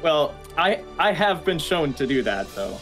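Nasal-voiced man, slightly high. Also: very animated, unhurried, a bit bright, soft background music. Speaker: tournament player. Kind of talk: casual chat.

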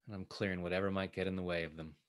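A man working as a tapping coach, leading guided affirmations in short, measured phrases meant to be repeated. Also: low voice, flat delivery.

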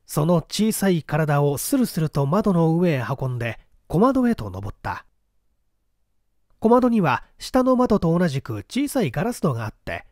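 A man's voice: a little high, a little mellow, no echo, clean studio sound. A man reading an old mystery novel aloud as dramatic, theatrical narration. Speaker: audiobook reader.

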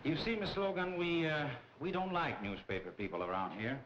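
Smooth, menacing 1940s nightclub boss. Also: male voice, a little high, very animated, brisk, noisy background. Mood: veiled menace. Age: middle-aged. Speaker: actor in a crime film. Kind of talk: 1940s film acting. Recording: dull 1940s film soundtrack, loud music behind.

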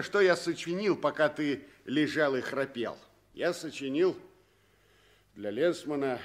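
An actor playing a jolly, good-natured tramp in a radio play, with a folksy, bantering delivery. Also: male voice, mid-range voice. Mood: jolly, teasing. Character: hearty, good-natured adult male tramp, jolly and teasing. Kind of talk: 1960s Soviet radio play acting.

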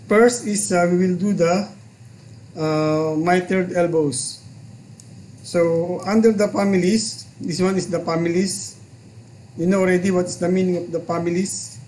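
A man in his forties with a Filipino accent, hosting a home vlog and software tutorial, chatty and halting, mixing languages. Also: high voice, steady tone, a little hiss or hum, poor audio. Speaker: channel host and software tutor. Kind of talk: casual vlog chat and screen tutorial. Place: small room at home, indoors.

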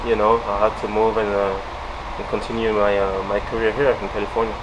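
A young man with a French accent, a fighter being interviewed in a large indoor gym, speaking haltingly with many fillers. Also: on the low side, flat delivery, background noise. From France. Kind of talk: casual interview.

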